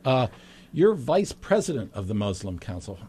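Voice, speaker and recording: A man hosting a local talk show, friendly and a little halting, often repeating words as he starts a sentence. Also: medium pitch, very animated, dark tone, slightly rough. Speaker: host.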